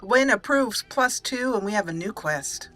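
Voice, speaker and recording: A woman's voice: medium pitch, animated, moderate pace, sharp tone, no room sound, faint music behind. A woman hosting a video game let's play, narrating the roleplay and reading dialogue aloud with expression. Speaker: gaming channel host.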